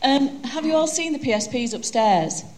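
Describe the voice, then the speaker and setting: A woman's voice: high-pitched, animated, moderate pace, darkish tone, slight background noise. A woman with a broad Yorkshire accent giving a chatty, joking conference presentation on a handheld microphone. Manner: chatty, self-deprecating, joking.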